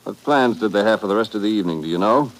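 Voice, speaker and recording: Low-voiced, terse army major. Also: male voice, expressive, very fast. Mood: brisk, businesslike. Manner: terse, businesslike. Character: brisk, knowing male army major questioning a sergeant. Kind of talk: 1950s radio drama acting. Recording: noisy old radio recording.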